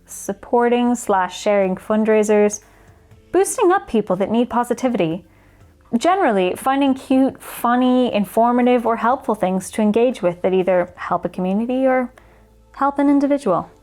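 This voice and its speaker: A woman's voice: on the high side, lively intonation, normal speed, a little mellow. A woman reading out a personal testimony as a guest contributor. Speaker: guest reader of a written community response. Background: background music.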